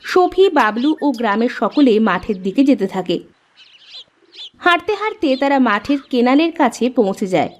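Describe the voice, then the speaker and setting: Animated woman narrating a children's story. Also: fairly high pitch, moderate pace.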